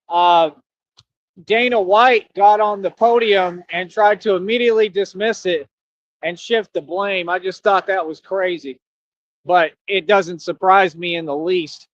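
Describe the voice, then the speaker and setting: A Texan man with a rather high voice talks in a loose, rambling stream monologue, dropping "you know what I mean" between thoughts. He records through cheap headphones, and the sound comes out dry and a bit dull, with no room around it. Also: no echo. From Texas. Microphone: cheap headphones with mic.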